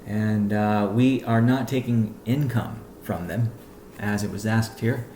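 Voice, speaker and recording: Low-voiced guy, mellow and halting, ceo fielding questions on a conference call, roomy echo. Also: a little hiss or hum. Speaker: company chief executive on a conference call. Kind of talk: answering read-out questions on a business conference call.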